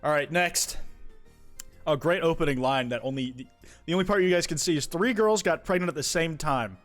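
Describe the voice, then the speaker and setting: A man's voice: on the high side, a little fast, a bit bright, soft background music. A young man from the United States chatting casually on a live stream, reading viewer confessions aloud, rambling and swearing with many restarts.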